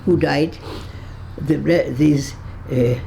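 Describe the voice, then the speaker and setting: A woman over one hundred, from Berlin, recalling her past in an interview, halting and searching for words with frequent restarts, and laughing easily with dry humor. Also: deep voice, fairly even intonation, gravelly, mellow, slight background noise. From Berlin, Germany. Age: 100s. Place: her home on a kibbutz.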